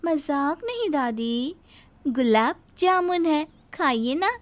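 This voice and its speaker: A woman's voice: high voice, lively intonation, takes it slowly. A woman playing a cheeky, lazy young daughter-in-law in a moral story, talking with exaggerated mock politeness. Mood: feigned innocence. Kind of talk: scripted Hindi moral story acting.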